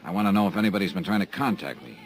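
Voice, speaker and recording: An actor playing a wisecracking insurance investigator in a 1950s radio drama, also narrating. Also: male voice, low-pitched, lively intonation, rapid-fire, slight background noise. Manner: dry, wisecracking, laconic.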